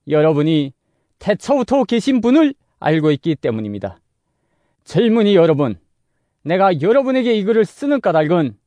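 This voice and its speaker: Male narrator, high register, highly animated intonation, for solemn dramatic scripture reading over music. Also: no room sound. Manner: solemn, deliberate, exhortatory. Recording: music under the voice. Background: musical instrument backing.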